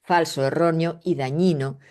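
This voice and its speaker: A woman's voice: sits low, steady tone, moderate pace, a little mellow. A woman with a Spanish accent from Spain, speaking as an interview guest in an emphatic, didactic way and often checking in with rhetorical questions. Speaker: guest, astrologer and spiritual researcher. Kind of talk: conversational interview explanation.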